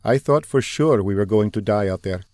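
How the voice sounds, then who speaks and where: A man's voice: fairly low pitch, normal speed, darkish tone, no echo, studio quality, natural voice. A man voicing a shaken, brooding male fighter pilot who speaks grimly and reflectively. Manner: grim and reflective.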